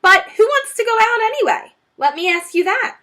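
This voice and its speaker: Jolly fox leader, rousing speechmaker; a woman reading does him, bright and animated. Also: very high-pitched, moderate pace, pitched above the performer's own voice, exaggerated voice. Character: proud, cheerful male fox leader.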